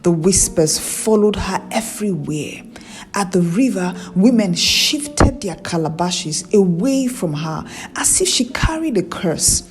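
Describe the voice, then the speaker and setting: Slow, expressive woman storyteller. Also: medium pitch. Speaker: storyteller, narrator. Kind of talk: scripted narration of a folktale.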